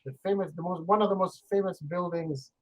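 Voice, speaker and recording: A man's voice: high-pitched, fairly even intonation, a little fast, lo-fi sound. An older man with a Scottish accent, chatting as an online English tutor, explaining with examples and laughing easily. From Scotland. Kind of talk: casual conversation lesson.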